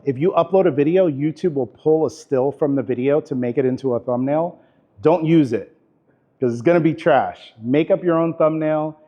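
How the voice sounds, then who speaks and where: A man teaching a live workshop class in a camera store, talking in a relaxed, chatty way with lots of fillers. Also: on the high side. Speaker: workshop instructor. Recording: dull lo-fi recording.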